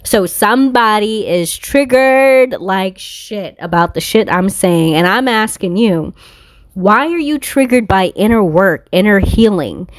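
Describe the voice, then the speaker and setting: A woman giving a casual spiritual card reading in a blunt, confrontational manner, swearing freely. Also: mid-range voice. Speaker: tarot card reader and spiritual content creator.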